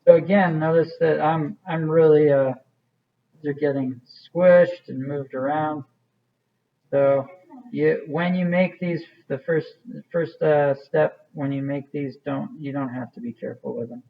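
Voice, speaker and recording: A man's voice: a little high, steady tone, unhurried, vocal fry, narrow, tinny audio. A man teaching an art class, walking students through a clay project in a casual, rambling way with many fillers. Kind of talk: classroom demonstration.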